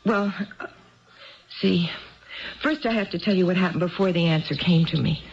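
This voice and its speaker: An actress playing a nervous, hurt, neglected housewife in a 1970s radio drama, talking in a chatty, halting way that seeks approval. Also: female voice, on the low side, vocal fry, slight background noise. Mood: earnest, chatty. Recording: muffled vintage radio broadcast recording.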